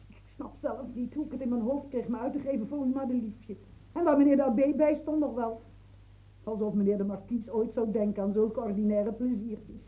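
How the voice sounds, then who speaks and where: A woman's voice: fairly high pitch, warm tone, lightly acted, poor audio. An actress playing a bustling, outspoken bookbinder woman who fusses and coaxes, in a radio drama. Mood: chattering. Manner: brisk, fussing, coaxing.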